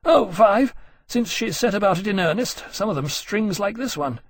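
A man's voice: high-pitched, animated, normal speed, slightly rough, dry recording, studio quality, pitched above the performer's own voice. A man voicing a harried military captain, frank, rueful and easily embarrassed, speaking emphatically in an audiobook story.

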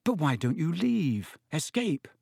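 A man's voice: fairly high pitch, big pitch swings, unhurried, creaky, darkish tone, breathy edge, no room sound, voice pushed up, heavily acted. A well-meaning young boy, played by a male narrator, in a slightly raised voice with a close, dry sound. He is polite and hesitant, puzzled but earnest, in storybook dialogue. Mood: puzzled, curious. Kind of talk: audiobook character voice.

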